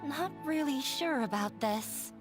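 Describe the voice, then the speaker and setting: A woman's voice: high voice, lively intonation, slow, music in the background. A woman voicing a timid, self-doubting young girl in an anime-style game, speaking hesitantly. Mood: timid, doubtful. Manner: hesitant, unsure.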